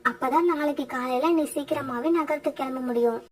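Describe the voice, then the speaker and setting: A woman's voice: high-pitched, fairly even intonation, brisk, natural voice. A woman voicing a devoted, hardworking mother sparrow in a cartoon fairytale. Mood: tender, hopeful. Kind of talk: animated cartoon fairytale dialogue.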